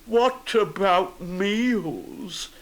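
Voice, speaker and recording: A man's voice: very high voice, sing-song, pitched above the performer's own voice, full character voice. A man voicing a naive, greedy toy bear from a storybook, plaintive and childlike as he complains. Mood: cross, gloomy. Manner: hesitant, drawn-out, childlike complaining. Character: naive greedy male toy bear, easily worried and grumpy.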